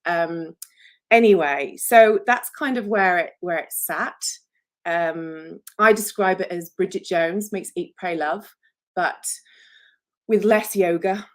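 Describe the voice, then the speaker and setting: A woman's voice: lowish voice, slow. Chatty English woman in her forties. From England. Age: 40s. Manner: chatty, self-deprecating and jokey, with frequent ums. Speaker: author. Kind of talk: casual solo livestream talk.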